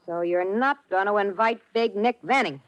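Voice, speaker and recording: A woman plays a jaded gun moll in a radio crime drama. Her talk is blunt, slangy and scolding, wary and sharp-tongued, in a dry close sound. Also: medium pitch, normal speed, no echo, muffled recording, lightly acted. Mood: bitter, warning. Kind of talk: old-time radio crime drama acting.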